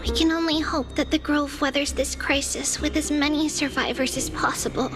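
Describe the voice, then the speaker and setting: A woman's voice: high voice, fairly even intonation, normal speed, close to an everyday voice. A woman voicing a sweet, earnest little girl in a video game, with childlike phrasing. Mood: earnest concern. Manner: refers to self in third person, childlike phrasing. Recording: music under the voice. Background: background music.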